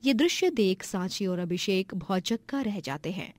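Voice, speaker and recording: A woman's voice: medium pitch, animated, normal speed, no room sound. A woman narrating a scripted moral story as a storyteller.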